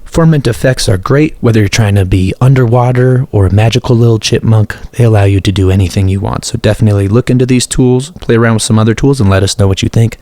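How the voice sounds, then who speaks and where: A man's voice: fairly low pitch, fast, few pauses, dark tone, no room sound. A man hosting a tutorial in a scripted narration style. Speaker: tutorial host.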